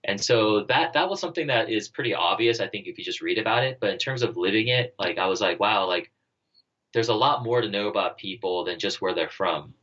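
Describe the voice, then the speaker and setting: The guest on a career podcast, a man with a forceful low voice, working out his answers as he goes, on slightly dull audio. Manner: halting, thinking aloud, heavy use of "like" and "you know". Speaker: interview guest, startup co-founder and former army officer. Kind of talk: conversational podcast interview answers.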